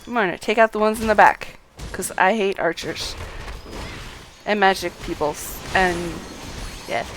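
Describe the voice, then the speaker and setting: A woman's voice: mid-range voice, a little slow, fairly bright tone, slight background noise. A woman giving casual live gameplay commentary in short bursts, muttering to herself and at the enemies. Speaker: game streamer.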